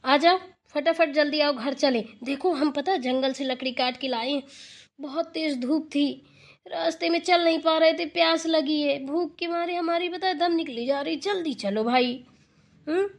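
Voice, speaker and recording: A woman voicing a caring, middle-aged village mother-in-law in a family cartoon, coaxing, animated and motherly. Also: high-pitched, normal speed. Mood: coaxing, reassuring.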